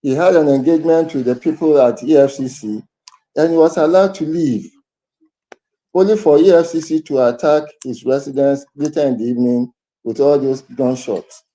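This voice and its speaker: A man giving news commentary as a panelist, halting and restarting his words. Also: on the high side, mellow, low-quality recording.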